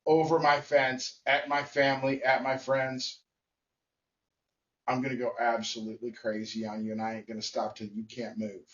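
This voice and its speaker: A man giving a blunt, emphatic opinion monologue to camera, speaking in short phrases with pauses. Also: medium pitch, a bit bright, far from the mic, lo-fi sound. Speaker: vlogger.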